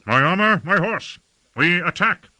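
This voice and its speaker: A man's voice: fairly high pitch, animated, moderate pace, voice pushed up. A man voicing an imperious, hot-tempered lord in an audiobook, harsh and urgent as he gives commands. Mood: battle fervour. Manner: urgent, peremptory, shouting commands.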